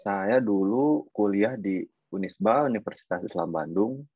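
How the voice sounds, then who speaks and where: A man's voice: lowish voice, unhurried, no room sound. A young man from Sumedang with a Sundanese accent, a podcast guest telling his story relaxedly and hesitantly. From Sumedang, Indonesia. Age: young adult. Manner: relaxed, hesitant, many fillers. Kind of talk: casual chat. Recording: dull lo-fi call audio.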